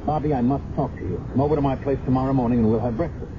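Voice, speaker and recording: An actor playing a dogged, guilt-ridden police sergeant in an earnest, insistent 1970s radio drama style. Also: male voice, mid-range voice, rapid-fire, natural voice. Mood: wounded, brooding. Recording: muffled, noisy 1970s radio recording.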